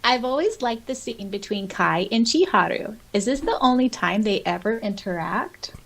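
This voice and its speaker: A woman's voice: mid-range voice, lively intonation, normal speed, creaky, a bit bright. A woman co-hosting a podcast, chatting casually and informally about fan topics with a giggly streak. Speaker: podcast co-host. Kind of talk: casual fan discussion, reading listener comments aloud.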